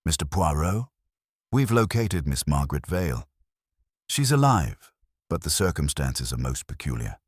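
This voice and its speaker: A businesslike police inspector, read by a man, brisk and to the point. His voice sits very deep, with lively pitch. The sound is dry and close. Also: husky, dry recording, heavily acted. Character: brisk middle-aged male police inspector.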